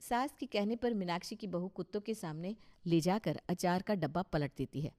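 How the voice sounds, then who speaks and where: A woman's voice: fairly low pitch, moderate pace, darkish tone. A woman reading scripted narration for a story as its narrator.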